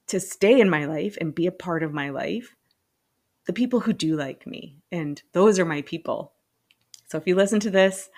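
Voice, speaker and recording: A woman in her late forties hosting her own podcast, talking candidly and with self-deprecating humor, laughing often. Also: fairly low pitch. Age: 40s. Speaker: podcast host. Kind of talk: personal solo podcast monologue.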